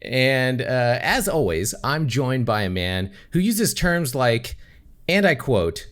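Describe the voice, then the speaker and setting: Male podcast host, young adult, joking, laughing often, lively swooping pitch. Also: slow pace. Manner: joking, laughs often, goofy theatrical openings. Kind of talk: casual podcast banter.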